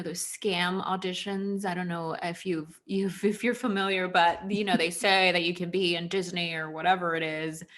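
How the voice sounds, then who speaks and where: A woman's voice: fairly low pitch, a bit bright. A woman in her thirties from Texas telling personal stories casually as an interview guest, chatty, with plenty of "like" and "you know". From Houston, Texas. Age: 30s. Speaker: interview guest, former actress. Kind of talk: casual conversational storytelling.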